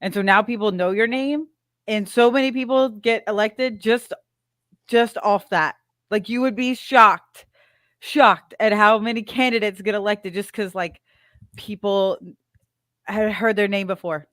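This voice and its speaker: A woman's voice: mid-range voice, a little slow, a bit bright, dry recording, studio quality. A woman from Louisiana chatting casually, repeating herself for emphasis. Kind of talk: casual chat.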